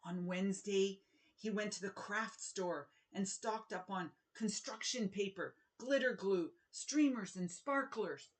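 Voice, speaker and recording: Middle-aged female children's author, unhurried pace, expressive read-aloud delivery, words carefully stressed for young listeners. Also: medium pitch. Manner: expressive, deliberate storytelling with emphasized words.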